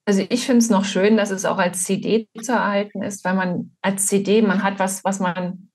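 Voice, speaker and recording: Female guest author, 40s, German accent. Husky, breathy tone, mid pitch, reflective and halting storytelling. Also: steady tone. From Potsdam, eastern Germany. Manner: halting, thoughtful. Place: indoor room at home, on a video call.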